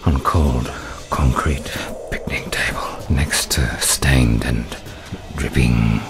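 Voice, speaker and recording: An actor playing a bleak, weary wanderer in a poetic radio play, speaking in halting fragments that sink toward a whisper over music. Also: male voice, extremely low pitch, steady tone, gravelly, full character voice.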